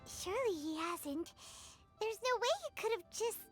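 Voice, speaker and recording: Squeaky, very high childlike fairy. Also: female voice, animated, moderate pace. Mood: excited. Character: tiny childlike floating fairy companion, excitable and inquisitive. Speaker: game character voice actor. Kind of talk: exaggerated anime-style game voice acting. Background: background music.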